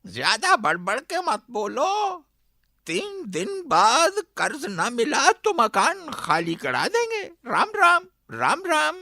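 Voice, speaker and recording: Gloating, swooping high-voiced moneylender. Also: male voice, huge pitch swings, pushed and bright, full character voice. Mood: smug threat. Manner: sneering, drawn-out, with repeated phrases and mocking chuckles. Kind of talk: radio drama acting.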